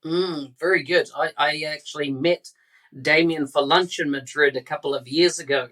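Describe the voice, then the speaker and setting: A man's voice: on the high side, steady tone, normal speed, sharp tone. A man hosting a podcast, introducing a guest and chatting in a friendly, conversational way. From New Zealand. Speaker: podcast host.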